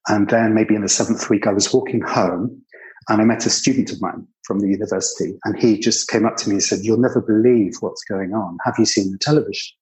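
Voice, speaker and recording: A man's voice: on the low side, fairly even intonation, fairly quick, darkish tone, low-quality recording. An older man with a British accent recalling his past as an interview guest, in a conversational, anecdotal way. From Britain. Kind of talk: conversational personal reminiscence.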